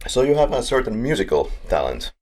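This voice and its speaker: A man's voice: medium pitch, big pitch swings, fairly quick. A man from Finland, hosting a podcast in a casual, upbeat and joking way. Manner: upbeat, joking, laughs between lines. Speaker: podcast host. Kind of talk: casual chat.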